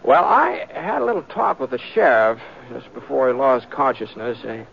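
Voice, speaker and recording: An actor playing an easygoing, modest western drifter with a hesitant, folksy drawl, in 1950s radio western style. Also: male voice, mid-range voice, expressive, normal speed, vocal fry. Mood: mild, hesitant. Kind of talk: 1950s radio western acting and first-person narration. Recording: muffled, noisy, crackly old radio recording.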